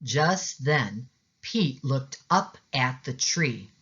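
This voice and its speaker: A woman reading a children's storybook aloud as a teacher, with expressive narration. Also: a bass voice, very slow, breathy edge. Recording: slightly dull, lo-fi sound.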